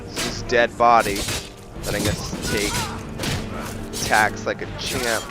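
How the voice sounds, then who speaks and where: A man's voice: on the low side, flat delivery, takes it slowly, loud music behind the voice. A man doing casual let's play commentary, thinking aloud to his viewers and slipping into roleplay. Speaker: gaming video host.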